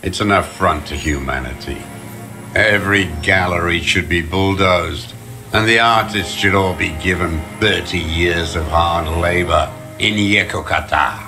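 An actor playing a bitter, weary old communist deserter in a video game, ranting with disdain. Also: male voice, low voice. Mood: scornful. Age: older. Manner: embittered, ranting, slow deliberate delivery. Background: music.

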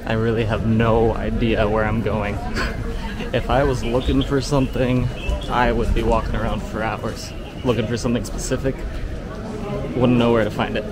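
A man's voice: medium pitch, level tone, normal speed. Young male travel vlogger, upbeat and chatty, arm's-length camera, heavy background noise. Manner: upbeat, chatty, laughs often. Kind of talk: casual walking vlog. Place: large covered market, indoors. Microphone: action camera at arm's length. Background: busy market noise.

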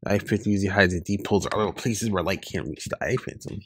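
A man reading webcomic dialogue aloud in an informal way, loosely acting out the lines, with a gravelly, husky voice. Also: low-pitched, quick pace, slight background noise. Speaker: online comic reader.

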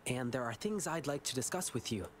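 Man voicing an earnest, lively young lion dancer boy. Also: mid-range voice, brisk, slight background noise, natural voice. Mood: earnest, thoughtful. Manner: earnest, polite, encouraging. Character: earnest, kindly youthful lion dancer boy, eager to help. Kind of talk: English game voice acting.

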